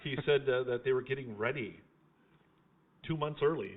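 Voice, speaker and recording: A man's voice: medium pitch, moderate pace. A man chatting casually as host of a live fundraiser, in a folksy, teasing way. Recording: thin phone-like sound.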